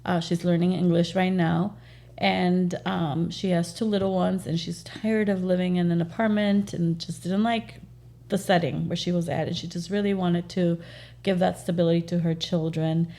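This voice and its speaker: Realtor lady, spanish first language, low gravelly voice, lots of ums, earnest. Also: steady tone, mellow. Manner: earnest, halting with frequent fillers. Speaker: realtor, interview guest. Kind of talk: casual interview conversation.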